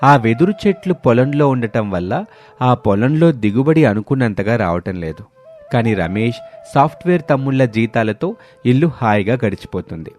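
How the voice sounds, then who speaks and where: A man's voice: medium pitch, animated, moderate pace, a little mellow, faint music behind. A man narrating a scripted moral story in a storytelling manner.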